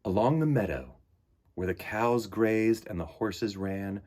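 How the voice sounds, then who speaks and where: A man hosting a children's storytime, speaking and reading aloud with enthusiastic, theatrical emphasis and a husky voice. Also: on the low side, unhurried, darkish tone. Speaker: host of a children's storytime show. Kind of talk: animated read-aloud and chat for children.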